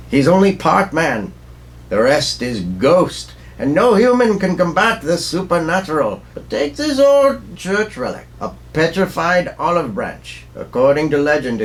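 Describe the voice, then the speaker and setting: An actor playing a learned doctor of the occult, grave and portentous in pulp radio melodrama style. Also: male voice, a little high. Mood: grave warning. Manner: expository, portentous.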